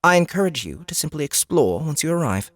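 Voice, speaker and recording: A man's voice: on the high side, big pitch swings, a bit bright, no room sound, voice pushed up. A man voicing a composed, formal female guide from another world in a fantasy audiobook, patient and faintly judgmental. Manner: measured, formal, explanatory.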